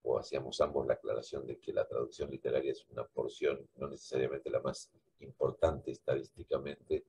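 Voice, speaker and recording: Very deep-voiced man. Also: level tone, brisk, gravelly, a little mellow, rough recording. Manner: reflective, repeats words while thinking. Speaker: guest translator. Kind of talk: conversational discussion. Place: library cubicle.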